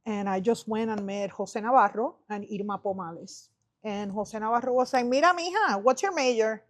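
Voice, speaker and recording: A woman's voice: fairly high pitch, brisk. A woman in her fifties with a Puerto Rican accent, a native Spanish speaker, tells personal stories conversationally, switching freely between English and Spanish and acting out dialogue. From Puerto Rico. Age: 50s. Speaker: podcast guest, film festival director.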